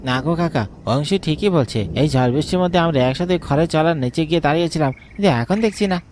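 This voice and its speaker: A man's voice: a little high, animated, quick pace, darkish tone, pitched above the performer's own voice, close to an everyday voice. A man voicing an earnest, anxious village boy in a cartoon, pleading, over noisy ambience. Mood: earnest, worried.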